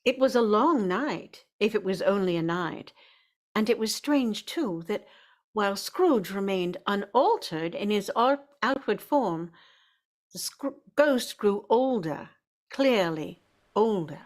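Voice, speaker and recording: Measured woman storyteller. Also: mid-range voice, lively intonation. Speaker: narrator. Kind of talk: scripted storytelling narration for radio drama.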